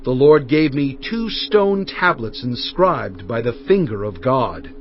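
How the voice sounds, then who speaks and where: Solemn, animated biblical prophet. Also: male voice, mid-range voice, slow, slight background noise. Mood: stern, reproving. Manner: solemn, emphatic oratory. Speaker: dramatized audiobook reader. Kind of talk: dramatized scripture reading. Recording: dull audio with faint music bed. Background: faint background music.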